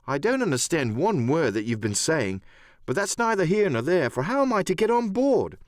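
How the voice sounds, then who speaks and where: An earnest, well-mannered boy adventurer, acted out by a man for an audiobook drama. The voice is raised a little, with lively melody and polite delivery, recorded dry. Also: fairly high pitch, moderate pace, dry recording, pitched above the performer's own voice. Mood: puzzled. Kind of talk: audiobook radio play character acting.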